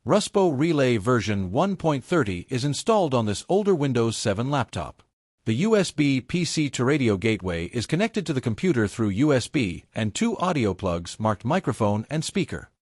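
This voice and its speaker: A man reading a scripted technical tutorial step by step in a measured, methodical way. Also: medium pitch, big pitch swings, a little mellow, no echo, studio quality. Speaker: tutorial presenter.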